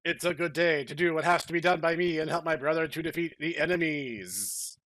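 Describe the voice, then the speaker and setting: A man's voice: a little high, a little fast, fairly bright tone, dry recording, lightly acted. A man voicing an earnest, melodramatic action hero in a stilted, declamatory mock-dramatic reading. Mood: solemn, resolute. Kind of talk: mock-dramatic reading of amateur fan fiction.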